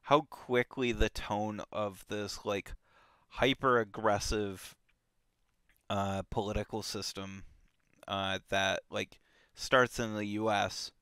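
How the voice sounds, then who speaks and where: A man talks through a book in a relaxed, animated way, stopping and restarting his sentences. His voice is bright and crisp, the sound dry and close, and his pace is unhurried. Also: on the low side, no room sound. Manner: animated, lots of fillers and restarts. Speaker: book club podcast host. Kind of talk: casual book discussion.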